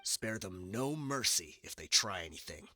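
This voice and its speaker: A man's voice: deep voice, expressive, moderate pace, pushed and bright, a little hiss or hum, full character voice. An actor playing a brash, dismissive male desert gang member in an anime game. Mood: dismissive, ordering.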